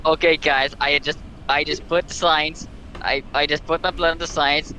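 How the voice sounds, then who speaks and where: A man's voice: a little high, steady tone, normal speed, pushed and bright, a little hiss or hum, rough recording. A man voicing a panicky, whiny prank vlogger who begs viewers for likes in a parody challenge video. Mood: nervous hype. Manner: whiny, repeatedly addresses viewers as guys.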